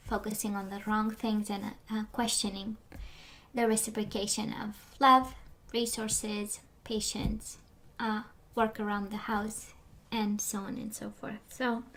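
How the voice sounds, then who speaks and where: A woman's voice: a little high, fairly bright tone. A woman giving a casual tarot reading as she loses her voice, rambling with many fillers and sometimes dropping to a whisper. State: losing her voice. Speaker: tarot reader.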